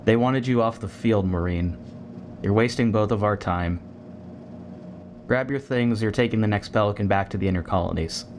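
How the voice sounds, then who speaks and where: A man's voice: on the low side, moderate pace, darkish tone, slight background noise, natural voice. A man playing a stern, impatient military commander in a sci-fi audio drama, with clipped, commanding delivery. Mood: cold dismissal.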